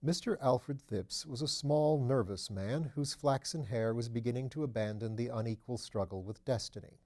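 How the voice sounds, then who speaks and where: Mellow male audiobook narrator. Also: mid-range voice, normal speed. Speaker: audiobook reader. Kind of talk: scripted literary narration.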